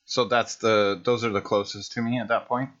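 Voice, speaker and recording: A man's voice: fairly low pitch, flat delivery, normal speed, fairly bright tone. A wry man talking casually as a player in a tabletop game, on a dull home recording.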